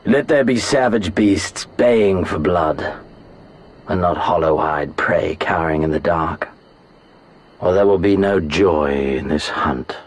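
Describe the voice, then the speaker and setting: A man's voice: sits low, slow, slightly rough, exaggerated voice. A man playing an arrogant, bored warlord prince who hunts for sport in a video game, speaking with haughty, theatrical, archaic diction. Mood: cold disdain. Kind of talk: dramatic video game voice acting.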